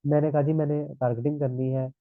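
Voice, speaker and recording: A man's voice: on the high side, fairly even intonation, normal speed, dry recording. A man from Pakistan with a sore throat, teaching a live online class and checking in with students as he explains. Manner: explanatory, repeats "thik hai" checks. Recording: tinny phone-like line.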